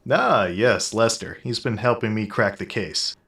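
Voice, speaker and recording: A man playing a deadpan, self-assured but clueless private detective in a comic audio drama, narrating in hard-boiled parody style. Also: lowish voice, measured pace, distant mic. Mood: deadpan, oblivious. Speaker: narrator and lead detective character. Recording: echoey room. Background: music.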